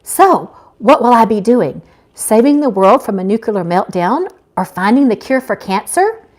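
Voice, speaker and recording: A woman's voice: on the high side, lively intonation, normal speed, a little mellow. A woman reading a story, voicing a sassy, defiant young woman with a catty, indignant manner. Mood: skeptical. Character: sassy, defiant young woman, newly dead angel recruit. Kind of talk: live audiobook-style reading.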